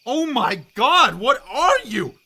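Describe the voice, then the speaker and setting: Frightened scholar, swooping and sharp. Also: male voice, very high-pitched, big pitch swings, takes it slowly, exaggerated voice. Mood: horrified, frantic. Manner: agitated, exclamatory. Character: frightened, stubborn scholar, incredulous and increasingly frantic. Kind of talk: radio drama acting.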